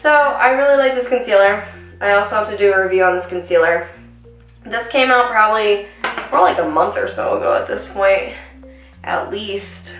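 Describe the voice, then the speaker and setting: A woman's voice: mid-range voice, normal speed, fairly bright tone, distant mic. A young woman, chatting casually through a makeup tutorial with lots of ums and asides. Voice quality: raspy. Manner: chatty, rambling with many ums. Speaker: beauty vlogger. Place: small room indoors at home. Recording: roomy echo, voice a bit off-mic, faint music.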